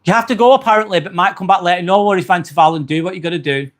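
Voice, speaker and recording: A man's voice: sits high, rapid-fire, a bit bright. A man with an accent from near Manchester, hosting a casual livestream and reading out viewer comments in a chatty, friendly way. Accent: northern English, near Manchester. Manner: chatty, friendly, frequent "um" fillers. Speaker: livestream host.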